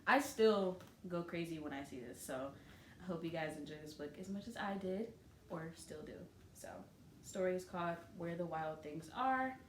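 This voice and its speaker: Female storybook reader, expressive, chatty asides to kids; echoey room, slight noise. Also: fairly low pitch. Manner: expressive, pausing on pictures with informal comments. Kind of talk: read-aloud storytelling with casual asides to children. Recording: roomy echo, light background noise.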